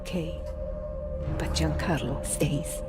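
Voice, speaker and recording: A woman's voice: low voice, fairly even intonation, takes it slowly. An actress playing a fierce, vengeful young partisan woman, intense and threatening in manner. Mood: resolute. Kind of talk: dramatic game cutscene acting. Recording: music behind the voice.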